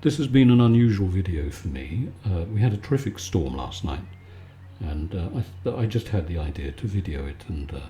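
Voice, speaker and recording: Low, mellow man chatting calmly. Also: fairly quick. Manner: calm, soothing, conversational. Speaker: video maker and host. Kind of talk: relaxed ASMR bedtime chat.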